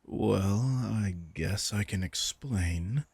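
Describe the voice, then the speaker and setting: A man's voice: fairly low pitch, extremely slow, vocal fry, darkish tone, slightly rough, no room sound, exaggerated voice. A man playing a commanding, teasing vampire king in a roleplay audio drama, smug and casually menacing as he speaks straight to the listener. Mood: smug, explaining.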